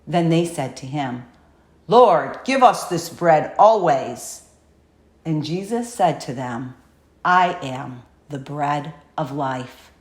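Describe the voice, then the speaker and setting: A woman narrator reading scripture from a script in a measured, solemn way. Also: low-pitched, lively intonation, roomy sound. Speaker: audiobook narrator.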